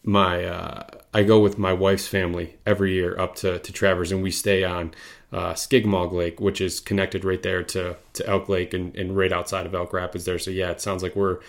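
Host, male, very low voice, easygoing and agreeable, stumbles into restarts. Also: level tone, moderate pace, vocal fry. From Michigan. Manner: conversational, agreeable, hesitant restarts. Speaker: podcast host. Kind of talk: casual interview chat.

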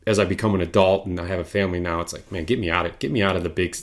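Host, male, very low voice, easygoing and agreeable, stumbles into restarts. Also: fairly even intonation, racing. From Michigan. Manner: conversational, agreeable, hesitant restarts. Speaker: podcast host. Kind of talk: casual interview chat.

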